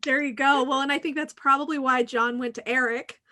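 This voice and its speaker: A woman's voice: sits high, fairly even intonation, a little fast, bright, forceful tone. A middle-aged woman hosting a casual chat, effusive and encouraging as a professor talking with former students. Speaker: podcast host, professor.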